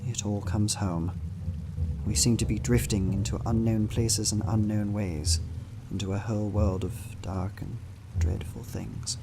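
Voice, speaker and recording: An anxious young Victorian husband played by a man records his journal in a radio play. His voice is low and brooding, with formal old-fashioned phrasing, and guitar music plays clearly behind him. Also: flat delivery, a little slow. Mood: foreboding. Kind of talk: dramatized diary narration in a radio play. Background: plucked guitar music.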